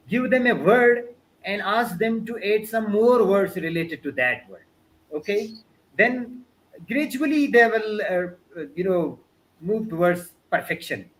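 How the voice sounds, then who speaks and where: A man's voice: high-pitched, fairly bright tone, rough recording. A man from Pakistan, a Balti speaker with a Pakistani accent, giving an earnest webinar talk over a video call, often using fillers like "you know" and "means". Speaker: guest lecturer. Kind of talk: webinar talk answering questions.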